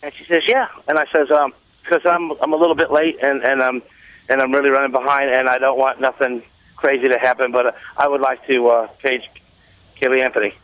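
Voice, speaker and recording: A man's voice: medium pitch, flat delivery, fairly quick, fairly bright tone, dry recording, muffled recording. A man telling a casual comic anecdote on talk radio, rambling along and acting out the dialogue as he goes. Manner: rambling storyteller, acts out quoted dialogue. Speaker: show guest telling a story.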